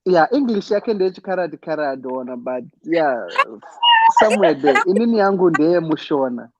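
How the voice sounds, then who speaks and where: A man's voice: on the high side, lively intonation, moderate pace, lo-fi sound, heavy background noise. A Zimbabwean man co-hosting a casual panel chat over a video call, stammering and repeating words as he mixes in Shona. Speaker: co-host.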